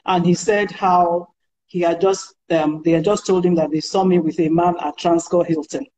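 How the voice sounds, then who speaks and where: A woman guest with a deep voice, telling stories confidently and with amusement. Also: fairly even intonation, normal speed, dark tone, slight background noise, rough recording. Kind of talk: casual storytelling in an interview.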